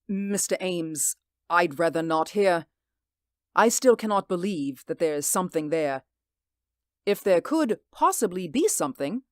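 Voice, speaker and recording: A woman's voice: mid-range voice, no echo, studio quality, close to an everyday voice. Lady doing a jumpy polite neighbor in an old radio drama, flustered, keeps stumbling. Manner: flustered and hesitant. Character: nervous, polite female neighbor eager to leave. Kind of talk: 1940s radio acting.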